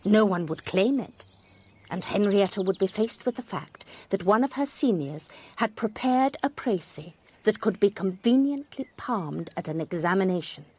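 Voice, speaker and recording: An actress playing a sharp-eyed, wry former schoolmistress, telling a mystery in a precise, measured way for radio drama. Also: female voice, medium pitch, animated, slightly rough. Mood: wry, reflective. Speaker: narrator and lead character. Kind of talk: first-person dramatised narration, radio drama.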